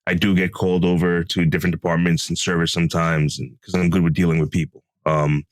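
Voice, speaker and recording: A man co-hosting a podcast, telling stories in a relaxed, deep, calm voice with lots of fillers, recorded at home. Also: quick pace, dry recording, studio quality. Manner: relaxed storytelling with many fillers. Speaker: podcast co-host. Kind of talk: casual podcast chat. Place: home closet room.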